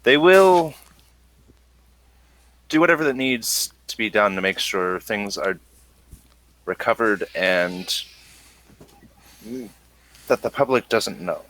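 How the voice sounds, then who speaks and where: Male game master, very deep and slow, improvised tabletop exposition with halting phrasing. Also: expressive, a bit bright, low-quality recording.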